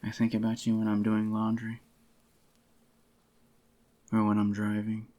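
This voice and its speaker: A man doing a soft-spoken, intimate ASMR roleplay as an adoring, possessive boyfriend, in a slightly groggy, unhurried murmur. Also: fairly low pitch, completely flat delivery. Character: adoring possessive young boyfriend, tender and clingy. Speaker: ASMR voice actor.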